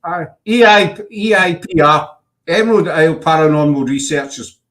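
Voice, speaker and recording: A man's voice: on the high side, normal speed, fairly bright tone. A man with a Glaswegian Scottish accent hosting a casual online chat show, teasing and joking with his guest. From Scotland. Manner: jokey, teasing, uses Scots words. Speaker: podcast host.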